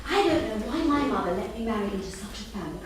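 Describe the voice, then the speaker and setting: A woman's voice: mid-range voice, animated, quick pace, crowd noise, lightly acted. A woman playing a breezy, frank and well-off mother in a staged script reading. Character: cool, breezy, generous well-off Dublin mother. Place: theatre stage. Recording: distant, very echoey hall, faint noise.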